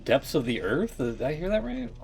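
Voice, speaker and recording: A man's voice: a little high, wildly swooping pitch, moderate pace, darkish tone, faint music behind. A man streaming live, reacting to the story in loose, joking chat and thinking aloud with fillers. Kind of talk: casual live-stream commentary.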